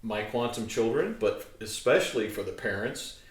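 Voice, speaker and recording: A man's voice: lowish voice, animated, normal speed. A male interviewer visiting a guest's home, chatty and playful, paying compliments as he introduces her. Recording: echoey room, distant mic.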